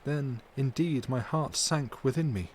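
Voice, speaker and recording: A man voicing a distressed but tender and brave young wife, recounting in an earnest, measured, formal way for an audiobook. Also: medium pitch, close to an everyday voice. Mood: troubled recounting. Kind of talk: dramatic audiobook character reading. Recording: steady background noise.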